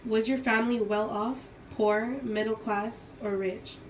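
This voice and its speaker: A woman's voice: fairly high pitch, little pitch movement, a little slow. Brief: young woman, interviewer, short plain questions, echoey room with faint noise. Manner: asks short direct questions. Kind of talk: oral history interview.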